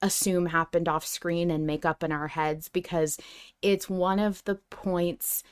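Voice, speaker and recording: Female podcast co-host, lowish smooth voice, emphatic and enthusiastic in casual fan discussion, close dry sound. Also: fairly even intonation, unhurried, dry recording. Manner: effusive, emphatic.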